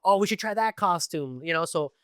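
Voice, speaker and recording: A middle-aged man from Tijuana and East Los Angeles, a podcast guest telling stories in a casual, animated way with lots of fillers. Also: high voice, a little fast, clear, resonant tone, dry recording, studio quality. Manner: animated storytelling with many fillers.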